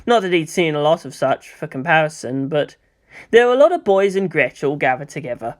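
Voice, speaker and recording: Expressive narrator with very lively pitch. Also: brisk. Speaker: audiobook narrator. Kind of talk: scripted narration of fan fiction.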